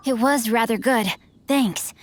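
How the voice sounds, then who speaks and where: A woman's voice: a little high, measured pace, a little hiss or hum, close to an everyday voice. A woman voicing a genteel, bookish, self-assured young schemer in a video game, speaking formally and theatrically. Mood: composed. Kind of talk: video game character acting.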